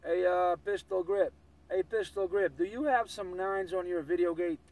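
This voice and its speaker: A man's voice: high voice, normal speed. A man making casual CB radio chatter in a slangy, repetitive way. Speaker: CB radio operator. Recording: noisy CB radio, heard from afar.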